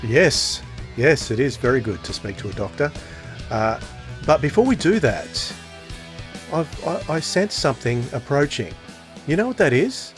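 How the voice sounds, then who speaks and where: A man's voice: medium pitch, big pitch swings, a little slow, soft background music. A man in his forties with an Australian accent, co-hosting a podcast in casual, chatty speech. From Tasmania, Australia. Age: 40s. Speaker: podcast co-host.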